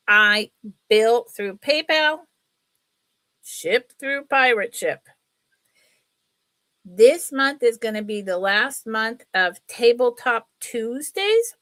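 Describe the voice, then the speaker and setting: A woman's voice: medium pitch, a bit bright, no echo. A middle-aged woman with a sniffly, congested nose, chatting casually and ramblingly as she hosts a live sale. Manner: rambling, chatty, apologetic asides. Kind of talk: casual livestream chat.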